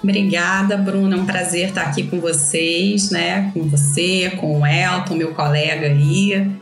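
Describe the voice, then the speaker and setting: A woman guest professor speaks casually, often adding little tag questions. Her voice is fairly low. She sounds echoey, as if recorded in a bare room over a call, with faint music underneath. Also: a little slow. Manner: relaxed, conversational, many tag questions. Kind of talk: podcast interview.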